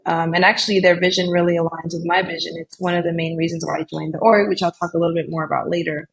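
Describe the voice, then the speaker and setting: A woman's voice: on the low side, little pitch movement, fast talker, creaky, poor audio. Chatty young woman, podcast guest. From Colombia, raised in California Bay Area. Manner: chatty, frequent "like" and "you know". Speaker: podcast guest, developer relations lead. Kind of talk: casual interview chat.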